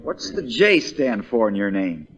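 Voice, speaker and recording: A man's voice: on the low side, sing-song, a little slow, muffled recording, slight background noise. A man playing a plain-spoken, wry country lawyer with a folksy drawl, in the style of 1940s radio drama. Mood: dryly teasing. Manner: folksy, drawling, dryly teasing.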